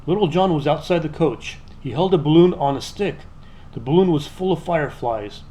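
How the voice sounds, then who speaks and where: A man narrating a children's storybook aloud in an expressive, scripted read-aloud style. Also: fairly high pitch, moderate pace, rough recording.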